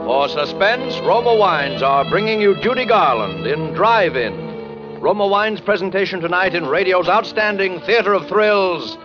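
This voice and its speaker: A man's voice: sits high, expressive, a little slow. A man announcing a show in dramatic 1940s radio style. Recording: muffled 1940s radio broadcast recording. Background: dramatic music.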